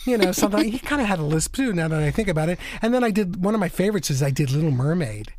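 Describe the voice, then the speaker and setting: A man who co-hosts and does voice acting, with a naturally high, cartoonish voice and an animated, joking way of talking. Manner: animated, jokey, quick asides. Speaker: co-host, voice actor. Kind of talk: casual chat on a talk show.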